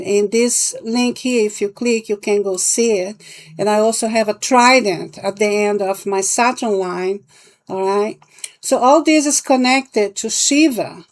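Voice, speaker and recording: A woman from Brazil with a Brazilian accent and Portuguese as her first language, giving a casual, halting explanation as a vlogger. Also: medium pitch, a little mellow. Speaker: palmistry vlogger and teacher.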